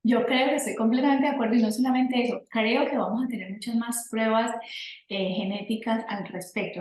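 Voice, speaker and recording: A woman with a middle-pitched voice explains health topics fluently as an interview guest. Her voice rings in a noticeably echoey room. She gives clear, advisory explanations. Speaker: nutrition guest expert.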